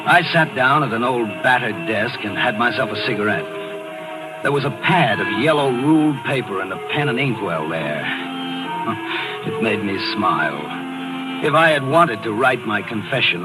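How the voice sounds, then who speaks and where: An actor playing a sly, smug, composed murderer in a 1940s radio thriller. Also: male voice, medium pitch, lightly acted, poor audio. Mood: dry, amused. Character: sly male actor, smug murderer narrating his crime. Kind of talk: 1940s radio thriller acting and first-person narration. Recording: old radio broadcast recording with music.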